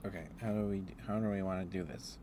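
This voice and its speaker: Male ASMR streamer, lowish voice, affectionate and apologetic rambling chat, noticeable background noise with typing. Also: fairly even intonation, fast talker. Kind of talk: ASMR livestream chat with viewers.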